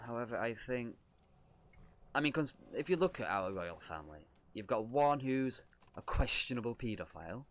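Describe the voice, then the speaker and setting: A young man with a Lancashire accent, a streamer chatting casually and reading viewer questions aloud, self-deprecating and rambling. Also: medium pitch. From Lancashire, England. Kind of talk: casual livestream chat answering viewer questions.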